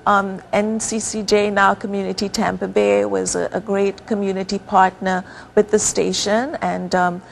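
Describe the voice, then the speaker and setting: A woman hosting a community TV interview, speaking in a gracious, measured and complimentary way. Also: mid-range voice, gravelly. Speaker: TV interview host. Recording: noticeable background noise.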